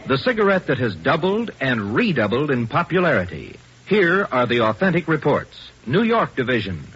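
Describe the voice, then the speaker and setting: A male commercial announcer in 1950s radio style. His pitch swings in a lively, emphatic way, with some grit in the tone. The vintage recording sounds dull. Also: normal speed, slightly rough. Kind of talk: 1950s radio advertisement read.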